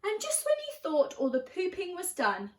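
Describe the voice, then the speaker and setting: A woman's voice: very high-pitched, huge pitch swings, moderate pace, slight background noise. A woman reading a children's picture book aloud expressively, with dramatic emphasis on key words. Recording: roomy, echoing room sound.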